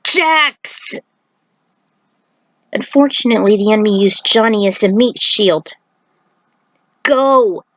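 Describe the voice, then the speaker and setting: A woman's voice: mid-range voice, fairly even intonation, fairly quick, few pauses, dry recording. A woman reading an action fantasy story aloud as a narrator, in a dramatic scripted style.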